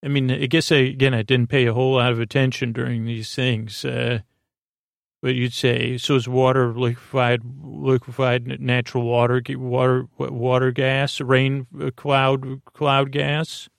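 A man hosting a sleep podcast, giving a meandering, halting bedtime monologue with many digressions in a gravelly, creaky voice. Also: mid-range voice, flat delivery, dry recording, clean studio sound.

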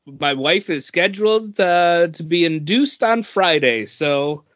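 A man with a fairly high voice and very lively intonation co-hosts a podcast. His talk is casual and joking, captured in slightly dull, lo-fi sound. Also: slow pace, no echo. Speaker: podcast co-host.

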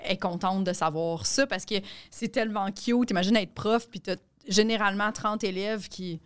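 A woman with a Quebec French accent, her pitch right in the middle for a woman. She chats easily as a comedian guest on a podcast and keeps agreeing with quick fillers. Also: clean tone. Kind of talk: casual podcast chat.

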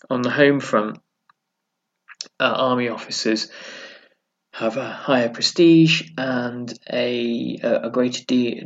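A man hosting a history podcast, explaining events unscripted as he thinks aloud, halting often and reading out book passages now and then. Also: mid-range voice, fairly bright tone. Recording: slightly dull lo-fi recording.

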